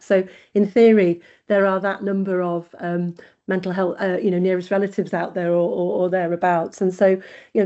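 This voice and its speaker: Mellow woman on a dull video call. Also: medium pitch. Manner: earnest, thinking aloud with frequent fillers and restarts. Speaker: researcher presenting at a webinar. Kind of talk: conversational answer in an online Q&A. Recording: dull lo-fi webinar audio.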